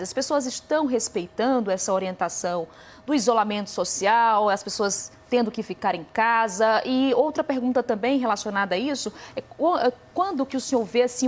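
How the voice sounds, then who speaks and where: Polite woman news reporter. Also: a little high. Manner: polite, formal questioning. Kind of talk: live news interview question.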